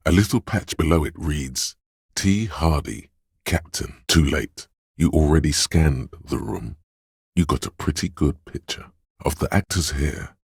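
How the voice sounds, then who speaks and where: An actor voicing a calm, observant male inner voice of the senses in a game, narrating in a measured, deliberate way. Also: very deep voice, slightly rough, no echo, studio quality, heavily acted. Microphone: studio mic.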